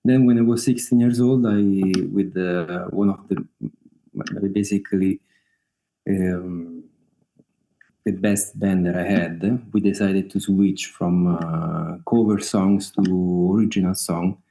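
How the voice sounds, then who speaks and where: A man from Italy with a clear Italian accent speaks on a video call in a low, mellow voice. His talk is halting, thick with fillers, and broken by small laughs. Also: fairly even intonation, rough recording. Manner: hesitant, many fillers, laughs often. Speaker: guest singer-songwriter. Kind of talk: casual interview over video call.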